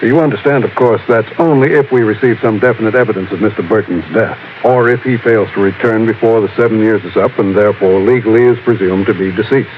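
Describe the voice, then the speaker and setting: An actor playing a precise, courteous small-town lawyer in an old-time radio drama, speaking in a careful, slightly fussy manner. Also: male voice, lowish voice, fast talker, hardly any pauses, natural voice. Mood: formal, careful. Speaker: supporting actor. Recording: phone-like old radio sound, faint noise.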